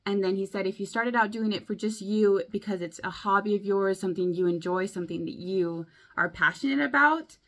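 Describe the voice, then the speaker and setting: A young woman vlogging casually to camera, chatty and rambling, her voice a bit hoarse from a cold. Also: mid-range voice, fairly quick, hardly any pauses. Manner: chatty, rambling, many likes and ums. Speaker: beauty vlogger.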